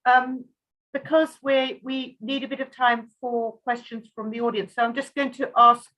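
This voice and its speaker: A woman with a fairly high voice moderates a literary discussion. She phrases her remarks and questions in a measured, considered way. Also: steady tone, lo-fi sound. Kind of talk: hosting a literary panel discussion.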